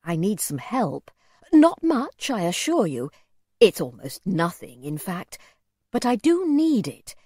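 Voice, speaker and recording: A woman voicing a gentle, pleading, very old gentleman in a storybook, well-spoken and old-fashioned in his courtesy. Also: medium pitch, very animated, takes it slowly, no room sound, clean studio sound. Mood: apologetic, coaxing. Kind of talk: storybook character voice.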